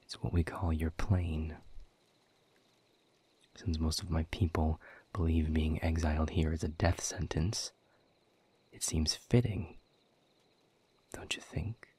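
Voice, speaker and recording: A weary, guarded demon captive from an audio drama, acted by a man, with a very low, husky voice that often sinks toward a whisper. He is bitter and quietly defiant, choosing his words slowly and with sardonic formality. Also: fairly even intonation, heavily acted. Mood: dry, composed. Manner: measured, deliberate, sardonic, formal phrasing. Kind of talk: scripted audio roleplay monologue.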